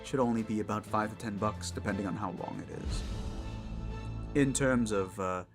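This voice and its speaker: A man's voice: on the low side, normal speed, music in the background. A man, the creator and performer of the show, speaking casually to his listeners in an outro.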